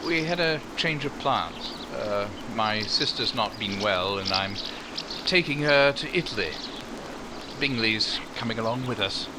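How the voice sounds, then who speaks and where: A man playing a proud, awkward gentleman suitor in 1940s radio romance style, formal and hesitant. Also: lowish voice, animated, a little slow, noisy background. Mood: stiff, reserved.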